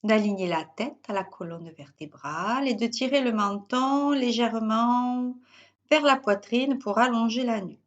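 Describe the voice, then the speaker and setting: A woman leads a guided meditation. Her voice sits fairly high, and she speaks very slowly. She gives instructions in short, measured phrases with pauses between them. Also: highs cut off. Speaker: yoga teacher guiding a relaxation session. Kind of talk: guided yoga nidra meditation.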